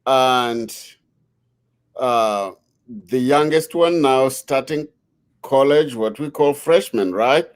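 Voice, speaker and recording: A middle-aged man asks questions at a very slow, measured pace. His voice sits in a middle pitch, and he pauses with fillers as he builds each point. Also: clean tone. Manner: slow, deliberate, with many fillers. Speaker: interviewer. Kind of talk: conversational interview.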